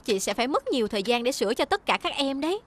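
A woman voicing a lively young character in a dubbed children's cartoon. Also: high voice, racing, crowd noise. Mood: concerned.

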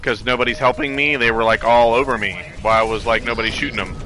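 A man's voice: mid-range voice, fairly even intonation, fast talker, sharp tone, rough recording. A man playing a multiplayer game as a teammate, chatting casually with questions and complaints. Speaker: gamer, teammate. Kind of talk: casual gaming chat.

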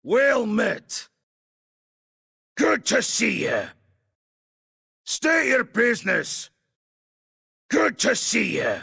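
A fiery, blustering dwarf from a fantasy video game, speaks with a thick Scottish accent. The voice is forceful and full of gravel, pitched high for a man. It is delivered slowly and heartily. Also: wildly swooping pitch, full character voice. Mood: boisterous joking. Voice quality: gravelly, raspy. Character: gruff, fiery, boisterous male Dark Iron dwarf. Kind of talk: video game character voice lines.

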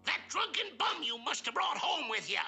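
A woman's voice: sits high, sing-song, moderate pace, pushed and bright, faint music behind, heavily acted. An actor playing a bossy, suspicious, hot-tempered wife in a comic cartoon, a woman who scolds and domineers. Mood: furious, scolding. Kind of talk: 1960s comic cartoon acting.

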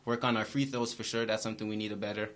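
A young man, a college basketball player, answering press questions after a game in a steady, repetitive way, speaking into a table mic in a small brick-walled room. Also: on the low side, racing. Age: young adult. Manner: flat, steady, repeats phrases. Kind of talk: postgame press conference answers. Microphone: table mic in front.

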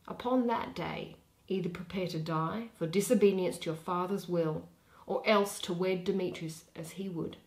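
A young woman giving an expressive dramatic reading of a Shakespeare play, with theatrical, deliberate phrasing and pauses. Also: medium pitch. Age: young adult. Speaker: reader.

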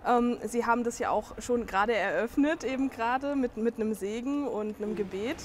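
A woman hosting a podcast, calmly asking clear interview questions. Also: a little high, a little fast, background noise. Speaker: podcast host.

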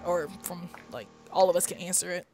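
A woman's voice: on the low side, steady tone, fairly quick, over music. A woman from Kentucky hosting a podcast, chatty and bubbly, often tripping over her words. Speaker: podcast host. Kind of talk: casual podcast chat.

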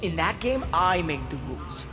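A timid young American loner from a video game. His voice sits high for a man. He speaks hesitantly, like someone long bullied, with music behind him. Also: very animated. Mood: wounded, reflective. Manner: hesitant, halting. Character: timid, bullied young gamer recluse with powers. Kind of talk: video game acting, brooding narration.

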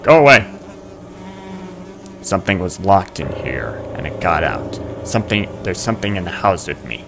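A man's voice: low-pitched, sing-song, moderate pace, vocal fry, background noise. A man hosting a horror game playthrough, talking to himself and to the game in a theatrical, jumpy way. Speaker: gaming video host.

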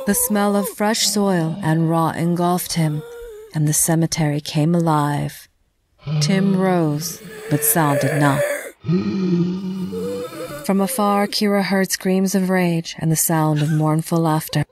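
A woman reading scripted narration for a dark fantasy audio drama. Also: low-pitched, takes it slowly, music in the background.

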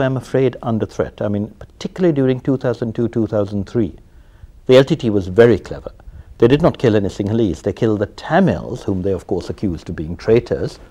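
A man hosting a TV studio interview, asking long questions in an animated way with some hesitant fillers. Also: on the low side, breathy edge. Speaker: interview host. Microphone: lapel.

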